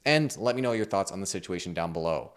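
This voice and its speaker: A man hosting a finance channel, explaining the market in a measured, neutral way while hoarse and losing his voice. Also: sits low, lively intonation. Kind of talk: explainer commentary.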